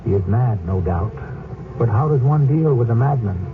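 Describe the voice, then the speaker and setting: A man hosting and narrating a radio drama in a measured, grave storytelling manner. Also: mid-range voice, lively intonation, noisy background. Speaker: host and narrator. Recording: tinny old radio broadcast with music.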